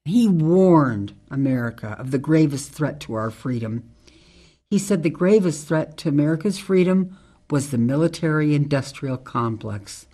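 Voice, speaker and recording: A woman playing a tough ex-military forest ranger in a radio drama, speaking deliberately and earnestly. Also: low voice, animated, mellow, husky, heavily acted. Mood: solemn, earnest. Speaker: actor in an amateur radio drama.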